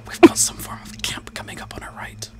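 A man's voice: brisk, darkish tone. A man whispering playful, sweary ASMR gaming chat close to a microphone as he streams and gives directions. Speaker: game streamer and navigator. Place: indoor streaming room. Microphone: close boom-arm microphone.

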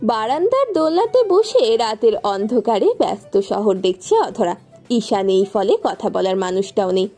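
Lively, mellow woman narrating a story. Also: medium pitch, normal speed. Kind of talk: scripted romantic story narration.

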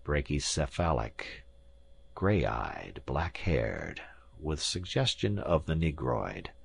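A man's voice: a bass voice, moderate pace, breathy edge, voice pushed down, full character voice. A man voicing an arrogant, imperious professor in an audiobook, pompous and lecturing as he talks down to his listener. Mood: scornful musing. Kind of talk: audiobook character acting.

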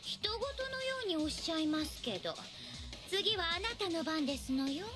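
A woman's voice: very high-pitched, animated, moderate pace. Prim, fierce anime schoolgirl. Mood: cool. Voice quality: polite ladylike speech with formal endings. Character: prim, fierce teen girl enforcer. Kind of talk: anime dub acting. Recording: voice over loud background music.

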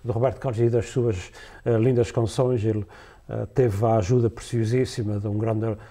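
Mellow older man with a Portuguese accent. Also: fairly low pitch, steady tone, moderate pace. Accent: European Portuguese. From Azores, Portugal. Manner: hesitant, many fillers and restarts, laughs easily. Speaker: musician guest. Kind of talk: casual studio interview. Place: TV studio set, seated in a leather chair.

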